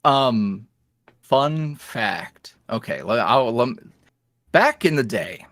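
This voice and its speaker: A man is chatting casually as an interview guest. His pitch moves around with lots of animation while he rambles in a wry, self-deprecating way. The sound is dry, with little room on it. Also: expressive, extremely slow, a bit bright, dry recording. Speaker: podcast guest, ASMR roleplay creator.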